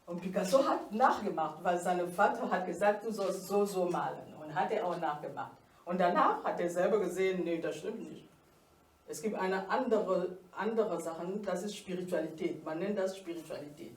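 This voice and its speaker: Halting woman speaking from afar in a roomy space. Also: fairly low pitch. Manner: halting, mixes German and French. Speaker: researcher and guest speaker. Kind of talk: halting personal presentation. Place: room at a festival event. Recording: roomy, from a distance, faint background noise.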